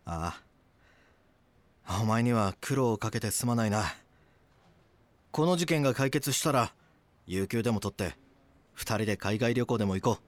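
An actor playing a stern but caring police detective who narrates an audio drama in a serious, measured way. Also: male voice, lowish voice, animated, no room sound, lightly acted. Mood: tired, tender. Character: stern male police detective narrating, gruffly tender husband. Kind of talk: scripted documentary-drama narration and acting.

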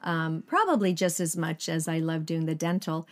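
An older woman from Sweden chats earnestly beside her husband, her voice sitting on the low side for a woman. She talks in a relaxed, unscripted way, often pausing on fillers and laughing easily. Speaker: ministry co-host, pastor's wife. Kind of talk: casual conversational chat.

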